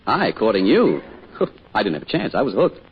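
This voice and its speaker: A man's voice: lowish voice, huge pitch swings, normal speed. An actor playing a fond, easygoing middle-aged small-town father in an old-time radio drama, speaking with an American accent in a plain, folksy way. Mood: wry, affectionate. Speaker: narrator and father character. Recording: dull, noisy old radio recording.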